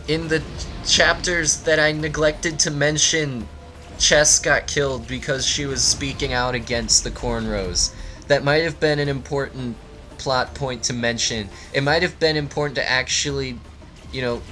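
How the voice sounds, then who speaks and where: A man improvising a mock fairy tale as commentary, with halting, theatrical narration. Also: medium pitch, fairly even intonation, sharp tone, faint music behind. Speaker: let's play commentator and storyteller.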